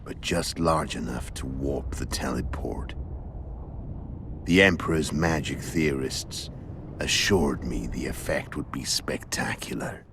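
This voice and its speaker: An actor playing a hulking, cynical video game assassin, calm and menacing, with a gravelly voice and sardonic manner. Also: male voice, background noise, heavily acted. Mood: smug menace. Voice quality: very deep, gravelly. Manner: slow, sardonic.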